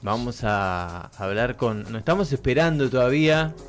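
A man's voice: mid-range voice, lively intonation, normal speed. A middle-aged man with a Buenos Aires Argentine accent bantering casually as a radio host, jokey and fond of repeating phrases. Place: small radio studio. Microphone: close studio microphone with headphones. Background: music bed.

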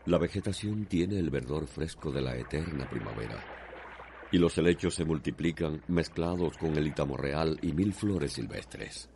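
A man's voice: extremely low pitch, expressive, moderate pace, a little mellow, a little hiss or hum. A man with a Cuban accent narrating a scripted radio drama in a declamatory, poetic manner.

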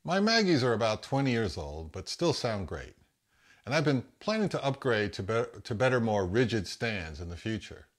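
A man's voice: on the low side, big pitch swings, moderate pace. A man in his fifties with an American accent, talking casually as the host of a vlog. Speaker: host of a hi-fi audio channel.

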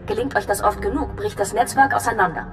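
A serious, composed female intelligence officer acted by a woman delivers a sci-fi game briefing. Her delivery is crisp and official at a middle pitch, in a long reverb with music behind. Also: quick pace, natural voice.

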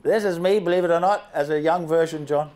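An older man from Zambia giving an animated slide presentation to a seated audience in a large banquet hall, speaking into a handheld microphone and asking the listeners questions. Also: fairly high pitch, brisk. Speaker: guest speaker.

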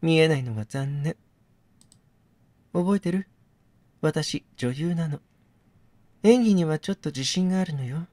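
Man voicing a soft, coy captive woman. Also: a little high, unhurried, long pauses, no room sound, close to an everyday voice. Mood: taunting. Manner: feminine, composed, coy phrasing. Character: captive actress, soft, wistful, teasing woman. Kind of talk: dramatic game dialogue reading.

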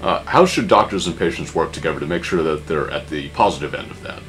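A man with a deep voice asking questions as an interviewer and adding brief affirmations. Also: animated, quick pace, a bit bright.

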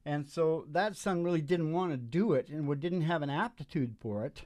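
A middle-aged male show host with a fairly high voice talks casually from the stage. He is opinionated and digressive, stopping and starting as he wanders through his stories. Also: normal speed, a little mellow. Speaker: host of a music and storytelling show. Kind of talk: rambling casual storytelling between songs. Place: small dim stage with curtains. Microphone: microphone on a stand.

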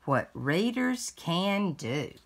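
An older woman reading a picture book aloud for young children, pronouncing it word by word in a deliberate way. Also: fairly low pitch, big pitch swings, gravelly, noisy background. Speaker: read-along host. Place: indoors at home.

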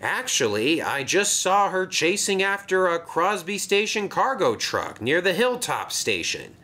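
A man's voice: high voice, big pitch swings, a little slow, bright, forceful tone, pitched above the performer's own voice, full character voice. A man voicing a dutiful, tireless male narrow-gauge engine who talks in a matter-of-fact way.

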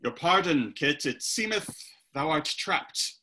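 A man's voice: fairly high pitch, expressive, slow, pushed and bright, heavily acted. A man playing a passionate, hot-tempered young Elizabethan playwright who declaims archaic verse. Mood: teasing. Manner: declaims archaic verse, swings to furious hissing. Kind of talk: audio drama acting.

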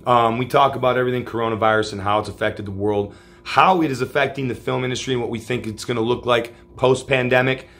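Male host, 40s, loud and hyped, bright forceful tone, casual swearing. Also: medium pitch, fairly even intonation, quick pace, creaky. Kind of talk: energetic show intro. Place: home room, solo on camera.

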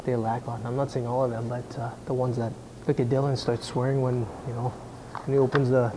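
A man's voice: medium pitch, flat delivery, fairly quick, background noise. Man, forties, blunt and conversational, camera-mic sound in an indoor atrium. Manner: blunt, conversational, frequent restarts. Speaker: business owner answering viewer questions. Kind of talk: casual Q&A chat. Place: indoor atrium of a mall or coffee shop. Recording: music under the voice. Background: background music.

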